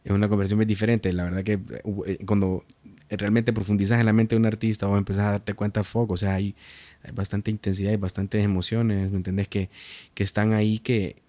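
A man with a Honduran accent hosting a casual conversation, slangy and halting as he repeats words while thinking. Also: lowish voice, flat delivery, warm tone, no room sound. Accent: Honduran Spanish. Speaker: podcast host.

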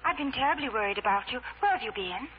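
A woman's voice: on the high side, lively intonation, quick pace, lightly acted. An actress playing an earnest, anxious young woman, a twin, hesitant and dramatic in 1940s radio drama style. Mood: worried, disapproving. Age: young adult. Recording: noisy, muffled old 1940s radio recording.

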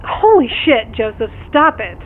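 A muffled phone voice over faint car noise. It is a worried, blunt woman friend, played by an actress in an audio drama, speaking unhurriedly in a high voice. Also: very animated, measured pace. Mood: exasperated. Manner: blunt, exasperated, concerned. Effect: phone call filter.

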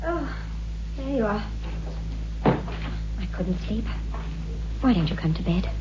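An actress playing a troubled, coaxing wife in a 1960s radio horror drama, with a dramatic, foreboding delivery. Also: female voice, mid-range voice, very animated, slow pace, heavy background noise. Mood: concerned. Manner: dramatic, foreboding narration and pleading dialogue. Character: troubled wife recounting a sinister tale, practical and coaxing. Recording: dull old radio recording, music behind.

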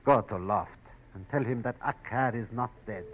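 A man's voice: mid-range voice, normal speed, narrow, tinny audio, lightly acted. A man acting a menacing, scarred villain who hisses his threats with theatrical, formal bitterness. He sounds like a vengeful schemer from a vintage radio thriller, with faint noise over the old recording. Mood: bitter. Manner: hissing, theatrical, formal phrasing. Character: bitter, menacing, disfigured male villain bent on revenge. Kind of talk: old-time radio crime drama acting.

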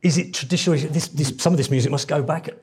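A man hosting a radio interview podcast, speaking in a friendly, measured way and reading some parts from notes. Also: a little high, darkish tone, husky. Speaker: podcast host. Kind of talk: radio interview and scripted intro.

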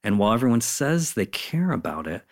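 A man's voice: medium pitch, big pitch swings, fairly quick. A man speaking as an interview guest, explaining things in an enthusiastic, conversational and emphatic way. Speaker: interview guest, content designer.

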